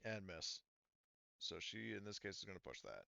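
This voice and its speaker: Low-voiced man as game master, dull audio. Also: sing-song, moderate pace, creaky, heavy background noise. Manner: casual, colloquial narration. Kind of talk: running a tabletop roleplaying game. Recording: dull, lo-fi sound.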